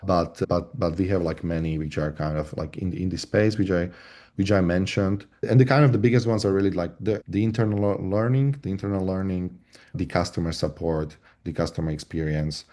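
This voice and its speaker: Low mellow-voiced man from Slovakia. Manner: halting, many restarts and fillers. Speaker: voice agent platform lead. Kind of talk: casual panel discussion.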